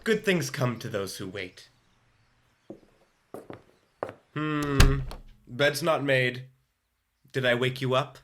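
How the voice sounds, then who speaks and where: A man's voice: medium pitch, takes it slowly, very noisy, close to an everyday voice. An actor playing an officious, insinuating policeman who bullies with bureaucratic rules in a radio play. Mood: smug, insinuating.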